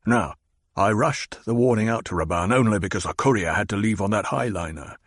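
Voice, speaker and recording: A man's voice: on the low side, brisk, no echo, clean studio sound. A man voicing a fat, petulant, demanding baron who blusters and growls. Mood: blustering.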